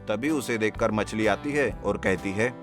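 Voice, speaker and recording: A man's voice: lowish voice, measured pace. A man reading a scripted children's story as a narrator. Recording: music bed under the voice. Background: plucked guitar music.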